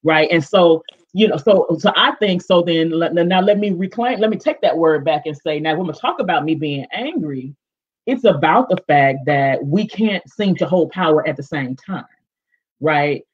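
A woman panelist with a big, expressive voice, speaking emphatically and directly on a video call, often restarting with fillers. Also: low voice, normal speed, husky. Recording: dull lo-fi video call audio.

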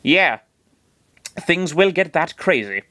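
A man's voice: a little high, very animated, slow pace, bright, forceful tone, no echo, pitched above the performer's own voice, exaggerated voice. Man voicing a sneering godlike hand entity, sarcastic, dry close sound. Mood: smug. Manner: sarcastic, grumbling, insulting. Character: smug, condescending giant cosmic hand, male.

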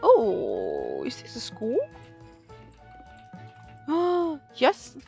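High-pitched, exclamatory woman gamer. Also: wildly swooping pitch, very slow, gravelly, dark tone, dull audio, faint music behind. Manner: exclamatory, reactive. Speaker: gaming streamer. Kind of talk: casual gameplay commentary, talking to self.

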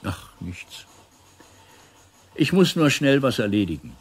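An actor playing a reserved middle-aged intelligence officer in a German radio drama, restrained, courteous and guarded. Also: male voice, mid-range voice, sing-song, slow pace, slightly rough, exaggerated voice.

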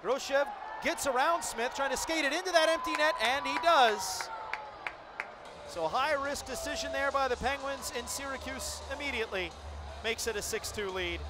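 A man calling a hockey game play by play, rattling off passes quickly and shouting out the goals. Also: extremely high pitch, a crowd in the background, background noise. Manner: rapid calls that build to shouted goal calls. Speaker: play-by-play announcer.

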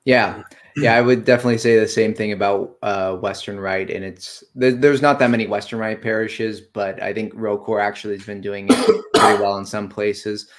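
A young man with a somewhat low voice speaks as a livestream guest. He is relaxed and agreeable, leaning on fillers like "uh" and "like". He is heard through a webcam call. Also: normal speed, a little hiss or hum. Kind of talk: casual conversation on a livestream. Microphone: video call webcam with earphones.

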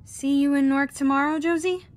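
Audio drama kid, played by a woman: supportive peacemaker, high voice. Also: steady tone, fairly quick, a little mellow, lightly acted. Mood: conciliatory. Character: peacemaking child, one of questing twins.

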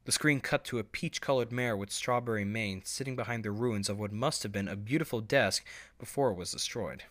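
Bright-voiced man narrating a story. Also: lowish voice, a little fast.

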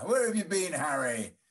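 A man acts a testy old gentleman in a period comedy. He blusters and fusses in a slow delivery, with pitch that swings wildly up and down. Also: sing-song, exaggerated voice. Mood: blustering, impatient. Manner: fussy, exclaiming, stammering. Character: blustering, hurried old gentleman, a stern father. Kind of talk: period stage comedy read aloud. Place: indoors, on a video call.

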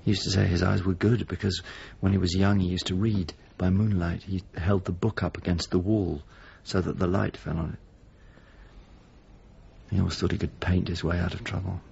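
An actor playing a questioning painter searching for an old friend in a radio drama, with a gravelly, husky voice. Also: male voice, low voice, little pitch movement, moderate pace, darkish tone, heavily acted. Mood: reflective. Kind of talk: naturalistic radio drama dialogue. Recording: slightly muffled radio drama recording.

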